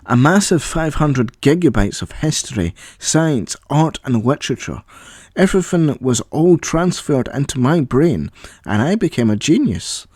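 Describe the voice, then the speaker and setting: A man's voice: fairly high pitch, animated, measured pace, darkish tone, slightly rough, no echo, studio quality. A man voicing a proud young boy inventor, easily irritated, in an audio story. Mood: boastful.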